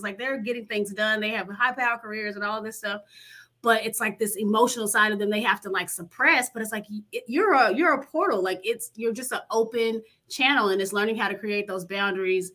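A woman's voice: on the high side, fairly bright tone. A woman therapist chatting enthusiastically in a podcast conversation, full of fillers. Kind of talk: casual conversation.

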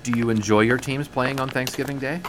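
An actor playing an affable, wry American newspaper sports writer of the 1920s in a period audio drama, with jaunty, wordy phrasing. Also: male voice, medium pitch, steady tone, a little fast, background noise, lightly acted. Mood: teasing, curious.

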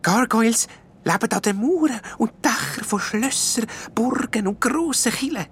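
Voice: mid-range voice, animated, unhurried, a little hiss or hum, pitched above the performer's own voice. A storyteller voicing a shy purple elf who explains things hesitantly but eagerly.

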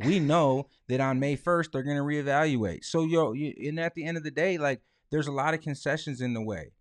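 A man's voice: a little high, fairly quick, no room sound, studio quality. A man in his late thirties chatting casually as a podcast co-host, blunt and emphatic, with slang and swearing. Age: late 30s.

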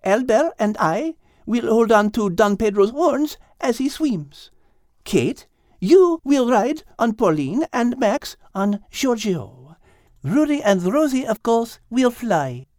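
Gracious French female cat, storybook animal leader, played by a man in falsetto. High, measured, very dry recording. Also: very animated, darkish tone, no room sound, heavily acted. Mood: brisk, commanding.

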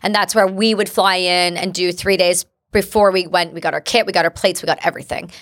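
A woman's voice: lowish voice, steady tone, fairly quick, a bit bright. A Canadian woman in her thirties, a guest on a talk show, telling her own story in a blunt, vivid and emphatic way. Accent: Canadian English. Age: 30s. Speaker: guest, military veteran. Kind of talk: personal storytelling in conversation.

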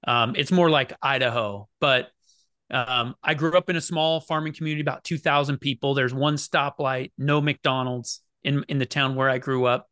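Bright, crisp man from Oregon. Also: medium pitch, steady tone, normal speed, dry recording, clean studio sound. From Oregon, United States. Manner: easygoing storytelling with fillers. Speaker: guest, business founder. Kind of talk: casual interview chat.

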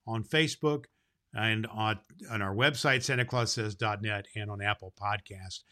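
A man's voice: mid-range voice, bright, forceful tone. A man playing a jolly, kindly Santa Claus hosting a children's holiday show, folksy and rambling, repeating phrases for emphasis. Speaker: host.

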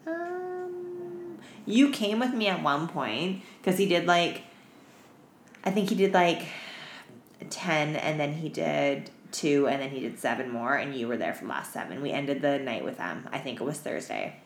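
Low-voiced, expressive woman co-host. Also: measured pace, slight background noise. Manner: teasing, slangy, profane. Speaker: podcast co-host. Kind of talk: casual chat. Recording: roomy, echoey room.

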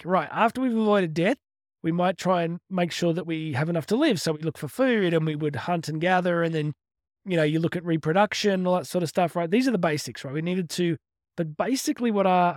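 A man, giving an energetic, conversational motivational podcast talk on his own and often restarting his words. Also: on the high side, dry recording, studio quality. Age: 50s. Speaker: motivational speaker. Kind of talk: solo motivational podcast monologue.

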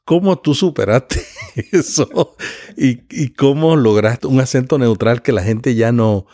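A man's voice: medium pitch, a little slow, warm tone, no echo, studio quality. A Puerto Rican man interviewing a guest, with a Puerto Rican accent, chatty and anecdotal. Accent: Puerto Rican Spanish. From Puerto Rico. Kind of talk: conversational interview.

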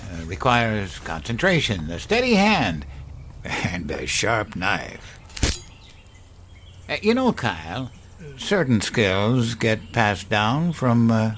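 An actor playing an elderly grandfather in a horror audio drama, folksy, wistful and quietly menacing, with halting, musing delivery. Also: mid-range voice, a little hiss or hum. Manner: halting, musing, with sighs and chuckles. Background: music.

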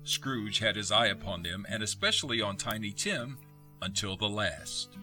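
A man narrating a radio play in a measured, expressive, literary storytelling style. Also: lowish voice, soft background music.

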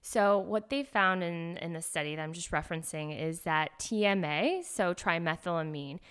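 Smooth-voiced woman doctor explaining. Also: on the low side, normal speed, fairly bright tone. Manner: earnest, explains medical terms step by step. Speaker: doctor guest. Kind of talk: explanatory interview talk.

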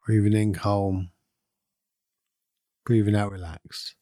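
A man leading a guided meditation in a calm, soothing, unhurried manner with long pauses between phrases. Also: fairly low pitch, no echo, clean studio sound. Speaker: meditation guide and podcast host. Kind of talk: guided meditation, gentle instruction.

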